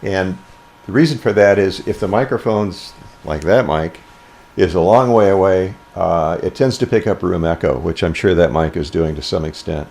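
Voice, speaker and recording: An older American man giving an informal explanatory tutorial as an instructor, unhurried, with halting pauses. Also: low-pitched, a little mellow. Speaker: ham radio instructor.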